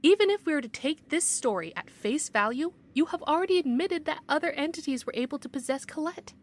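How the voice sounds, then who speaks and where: An actress playing a strict, skeptical supervisor in an audio drama, firm and officious. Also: female voice, high voice, expressive, brisk.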